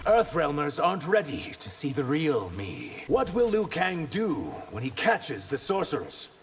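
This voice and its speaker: Earnest reptilian shapeshifter, guarded fighter, lively swooping pitch, long reverb, game banter. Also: male voice, measured pace. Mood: earnest, wounded. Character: earnest, guarded male reptilian shapeshifter warrior in human form. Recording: long reverb, faint background noise.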